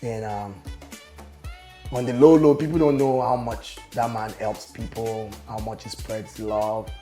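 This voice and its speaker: A man with a raspy voice, talking casually and confidently as a guest on an interview show. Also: mid-range voice, steady tone, unhurried, warm tone. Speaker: guest, business owner and show promoter. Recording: roomy echo with faint music.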